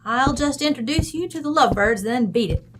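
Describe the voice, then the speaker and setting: Woman playing a brassy, folksy American mother, animated. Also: high voice, fairly quick, noisy background. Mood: brisk, bossy. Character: bossy, folksy American mother from Wyoming, ranch-bred and blunt. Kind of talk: old-time radio drama acting.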